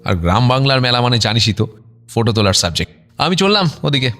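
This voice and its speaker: A young male actor playing a breezy, hurried amateur photographer chatting casually in a Bengali audio drama. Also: mid-range voice, very animated, fairly bright tone. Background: music.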